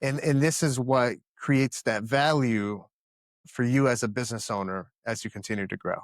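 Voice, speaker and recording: A man's voice: medium pitch, normal speed, no echo. A man in his thirties from the Dominican Republic, answering interview questions in an earnest, emphatic way and often restarting his words. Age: 30s. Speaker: podcast guest, company founder.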